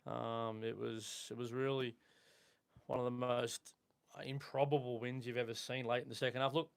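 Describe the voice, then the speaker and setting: An Australian man hosting a live sports podcast, chatting in a relaxed way with frequent ums and restarts. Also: mid-range voice, normal speed, vocal fry, clear, resonant tone. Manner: relaxed, conversational with fillers. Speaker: podcast host. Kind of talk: casual sports chat.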